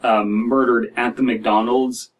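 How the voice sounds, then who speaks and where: A man in his thirties reading and reacting to stories as a podcast host, in a halting, wry, casual way. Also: medium pitch, flat delivery, distant mic, poor audio. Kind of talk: casual commentary and story reading. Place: small dim room indoors.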